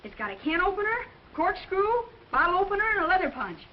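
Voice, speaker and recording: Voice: very high-pitched, big pitch swings, fast talker, a little hiss or hum. A performer playing an eager, sweet American boy in a vintage film style, on a muffled old soundtrack. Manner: earnest, colloquial. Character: eager, sweet orphan boy devoted to his father. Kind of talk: vintage Hollywood film acting.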